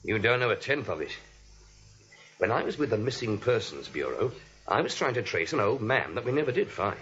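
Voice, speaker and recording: A man's voice: on the low side, sing-song, fairly quick, fairly bright tone. A man playing a genial, talkative American police officer in a 1940s radio play. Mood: gossipy. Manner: chatty, colloquial. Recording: dull old radio recording.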